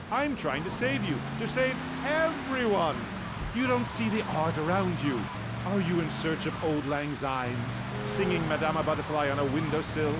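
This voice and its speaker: Pompous, melodramatic male theatre director over loud noise. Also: a little high. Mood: exasperated, declaiming. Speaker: radio talk show guest. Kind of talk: satirical radio talk show acting.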